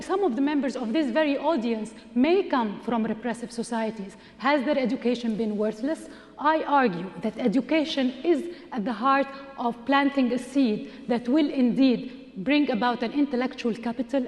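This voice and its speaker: A woman from Egypt delivers an impassioned debate speech full of rhetorical questions. Her voice sits somewhat high. A long hall reverb trails every phrase in the auditorium. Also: darkish tone. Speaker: debate panelist. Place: large auditorium.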